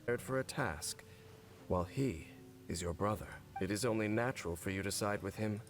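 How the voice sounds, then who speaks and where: An actor playing a solemn, weary man cursed for centuries in a video game, speaking in measured, dramatic lines. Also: on the low side, natural voice. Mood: grave, resigned. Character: grave, weary man cursed for five hundred years.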